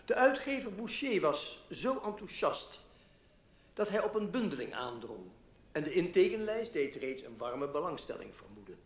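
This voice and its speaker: Pompous speechmaker in an echoey hall. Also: male voice, high-pitched, big pitch swings. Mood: self-satisfied. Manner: pompous, florid, measured oratory. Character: pompous literary newspaper writer giving a public speech. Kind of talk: formal speech in a radio play. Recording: echoey hall, public address.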